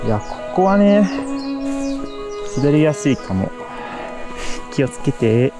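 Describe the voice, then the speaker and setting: Excitable man vlogging on a mountain trail. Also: on the high side, normal speed. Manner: excited, swears, mixes English with Japanese. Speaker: vlog host. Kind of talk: casual travel vlog chat. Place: outdoors on a forested mountain trail. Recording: outdoor vlog audio with music underneath.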